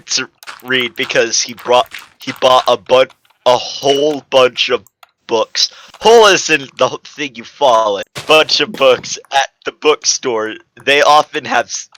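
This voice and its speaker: A man's voice: mid-range voice, bright, forceful tone, slight background noise, low-quality recording. A man giving a comedic dramatic reading of fan fiction as narrator, stumbling and correcting himself.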